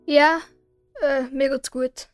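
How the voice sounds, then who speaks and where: Woman voicing a high-pitched, reserved Swiss boy. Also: steady tone, slow pace, dry recording, faint music behind. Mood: reserved, polite. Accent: Swiss German. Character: reserved young boy, curt but polite. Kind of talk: children's audio story acting.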